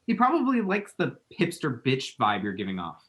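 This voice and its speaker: Man playing a flustered, dramatic young man, very animated. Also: medium pitch, normal speed. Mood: exasperated, bitchy. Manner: theatrical, awkward, sassy. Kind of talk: screenplay table read.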